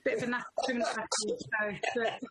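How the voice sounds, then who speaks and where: A woman's voice: on the high side, fairly even intonation, fast, a bit bright. A woman, an actress guest, chatting on a radio show in a wry, joking manner. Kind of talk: casual radio chat.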